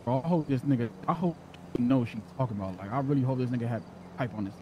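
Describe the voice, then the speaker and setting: A man's voice: mid-range voice, rapid-fire, a little mellow, a little hiss or hum. A man playing an online role-play game, talking casually in slang and repeating words over voice chat. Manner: slangy, repetitive. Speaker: fellow role-play gamer.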